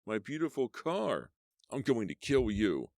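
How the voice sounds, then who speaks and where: A man's voice: mid-range voice, big pitch swings, slow, gravelly, dry recording, studio quality, voice pushed up, exaggerated voice. A man playing a groaning, menacing killer. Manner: groaning threatening. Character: menacing injured male hitchhiker-killer.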